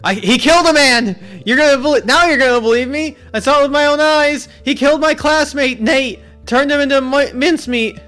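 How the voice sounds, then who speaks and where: A man voicing a panicked young male student who stumbles over his words while shouting that he saw a murder. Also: medium pitch, lively intonation, normal speed, faint music behind, lightly acted. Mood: frantic.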